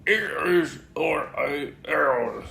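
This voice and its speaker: Reader doing a bouncy loud tiger, cheery and full of himself, a bit raspy, roomy sound. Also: medium pitch, very slow, full character voice. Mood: cheerful. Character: bouncy, boastful young male tiger. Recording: roomy echo, faint background noise.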